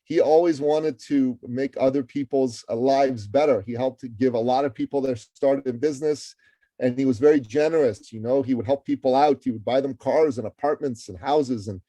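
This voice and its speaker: A man's voice: medium pitch, normal speed, mellow, no room sound. A middle-aged man from Iran, a guest author and entrepreneur, talking energetically and emphatically in an interview, often restarting words. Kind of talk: interview conversation.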